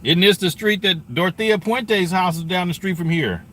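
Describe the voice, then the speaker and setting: A man with a bright, forward voice streaming live commentary. He talks conversationally and thinks out loud, with faint vehicle and scanner noise behind him. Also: sits high, animated, normal speed.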